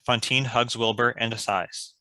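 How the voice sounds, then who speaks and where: A man's voice: medium pitch, steady tone, a little slow, pushed and bright, no room sound. A man reading scripted stage directions as the narrator.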